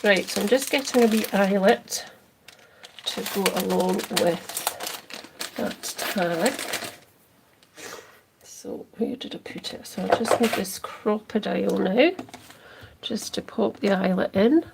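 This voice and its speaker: A woman's voice: medium pitch, gravelly, heavy background noise. A Scottish woman hosting a casual crafting livestream, chatting with viewers and answering their comments as she works. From Scotland. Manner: slow, soft, drifting into near-whispers as she concentrates.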